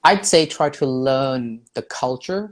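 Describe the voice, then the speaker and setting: A Thai man in his thirties talks over a video call through an earphone mic, sitting indoors. He explains at an easy, unhurried pace, full of "you know" and restarts, with a voice of middling pitch. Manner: relaxed, explanatory, many fillers and restarts. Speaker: guest teacher. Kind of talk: casual video-call conversation. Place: indoors at home.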